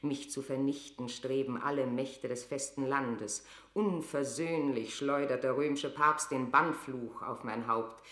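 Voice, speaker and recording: A woman's voice: extremely low pitch, steady tone, measured pace, hardly any pauses, fairly bright tone. An actress playing a proud, tormented Queen of England in a classic radio drama, with grand, declamatory delivery. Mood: proud, embattled. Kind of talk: classic German radio play acting of verse drama. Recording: roomy, reverberant radio drama studio.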